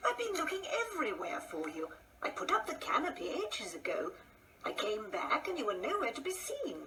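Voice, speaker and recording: High, very animated prim wife. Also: female voice, a little fast, slight background noise, heavily acted, low-quality recording. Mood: fretful, searching. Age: older. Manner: prim, questioning, chiding. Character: prim, patient, gently exasperated older wife. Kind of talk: radio play acting.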